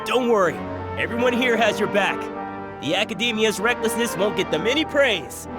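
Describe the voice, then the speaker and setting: A man's voice: high-pitched, lively intonation, normal speed, loud music behind the voice. A man playing a kindly, steadfast townsman with a reassuring, earnest manner in an English anime game dub. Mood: encouraging.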